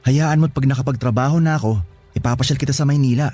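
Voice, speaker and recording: A man's voice: mid-range voice, quick pace, mellow, faint music behind, lightly acted. A man playing a devoted young boyfriend in a Filipino radio romance, speaking earnestly and tenderly. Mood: reassuring, tender. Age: young adult. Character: devoted young boyfriend leaving to study in the city. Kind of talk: Tagalog radio romance drama acting.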